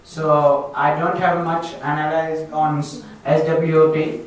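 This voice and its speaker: Echoey, monotone Indian man introducing himself. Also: on the high side, slow, a little hiss or hum. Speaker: student attendee speaking at a community meetup. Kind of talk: informal self-introduction to a group. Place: echoey indoor event room. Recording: echoey room, distant microphone.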